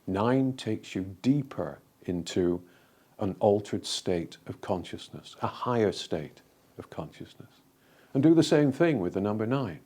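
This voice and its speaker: A man leading a guided meditation in a calm, gentle, deliberate way, leaving frequent pauses between phrases. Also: on the low side.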